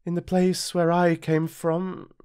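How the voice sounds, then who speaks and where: A man's voice: high-pitched, completely flat delivery, slow pace, darkish tone, clear, resonant tone, no room sound, clean studio sound, pitched above the performer's own voice. A British man voicing a vain, coy, delicate female flower in an affected, demanding manner. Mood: fussy, haughty.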